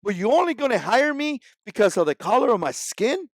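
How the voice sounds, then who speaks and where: A man's voice: extremely high pitch, sing-song, fairly quick, no echo, clean studio sound. A man in his forties with a Cuban Spanish accent, Spanish his first language, giving an emphatic, repetitive opinion vlog. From Cuba. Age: 40s. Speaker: vlogger.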